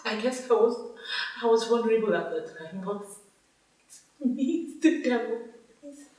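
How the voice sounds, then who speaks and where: Woman acting high-voiced distraught wife, pleading in echo. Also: lively intonation, normal speed, darkish tone, natural voice. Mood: guilty, remorseful. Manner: pleading, repeating herself. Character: distraught remorseful wife and mother begging forgiveness. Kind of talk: film melodrama acting. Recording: echoey room, far off, music behind.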